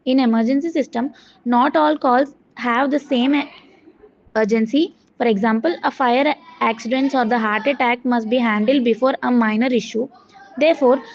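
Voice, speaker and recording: A woman student reading out a scripted project presentation in a halting way, with stumbles and self-corrections. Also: a little high, creaky, darkish tone, slight background noise. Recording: dull, muffled lo-fi audio.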